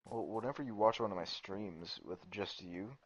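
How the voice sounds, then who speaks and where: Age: adult. Sex: man. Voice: deep, growly, monotone. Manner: rambling, sweary, laughs often. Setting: casual gaming-stream chat. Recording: muffled lo-fi headset audio. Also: normal speed, a little hiss or hum. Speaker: streamer's friend.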